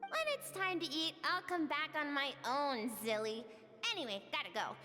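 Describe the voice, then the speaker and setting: A woman's voice: high voice, normal speed, pushed and bright. A woman voicing an adventurous, cheeky, dreamy young girl in a video game, with a childlike, playful delivery. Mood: excited. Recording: long reverb, music behind.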